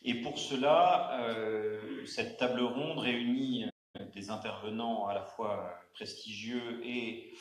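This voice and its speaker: A man moderating a round-table discussion in an auditorium, speaking haltingly with many fillers and restarts. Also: mid-range voice. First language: not Arabic. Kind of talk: hesitant spoken introduction at a panel. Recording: echoey auditorium sound.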